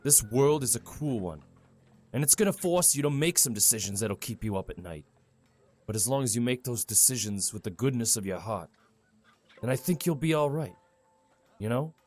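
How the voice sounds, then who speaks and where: A man plays a kindly, folksy town mayor. His pitch moves up and down a great deal, and his manner is informal and reassuring, as in an audio drama. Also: expressive, moderate pace, a little mellow, natural voice. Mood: somber, consoling.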